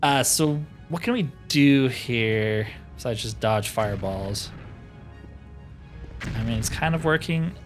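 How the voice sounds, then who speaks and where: A man's voice: mid-range voice, lively intonation, slow, faint music behind. A man giving casual playthrough commentary as a gaming host, thinking aloud in short, informal remarks.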